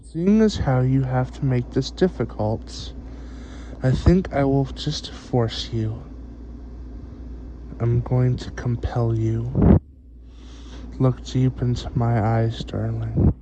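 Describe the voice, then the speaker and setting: Man playing a slow, soft-spoken, hungry vampire. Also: mid-range voice. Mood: commanding. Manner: soft-spoken, drawn-out, sighing and murmuring. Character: hungry, seductive male vampire, doting yet domineering master. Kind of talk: soft-spoken ASMR roleplay. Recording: dull, muffled audio with clear background noise.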